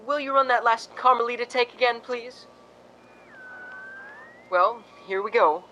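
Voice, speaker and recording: A man's voice: very high voice, unhurried, sharp tone, slight background noise, full character voice, poor audio. An actor playing a fussy film director in a radio drama, coaxing his leading lady with theatrical short commands. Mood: businesslike.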